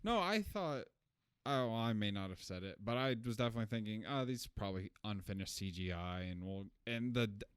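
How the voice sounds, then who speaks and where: A man's voice: lowish voice, animated, a little fast, clean tone, no echo, clean studio sound. A man co-hosting a film review podcast, talking casually and giving informal, blunt opinions. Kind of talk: casual chat, movie review discussion.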